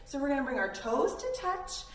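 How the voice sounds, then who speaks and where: A woman's voice: high voice, sing-song, a little fast. A woman instructing young children in yoga in a playful, encouraging way. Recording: roomy, distant mic, faint noise.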